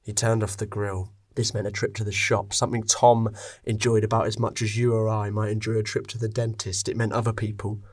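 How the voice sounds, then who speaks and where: A man narrating a scripted story expressively, with dramatic pauses. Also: on the low side, level tone.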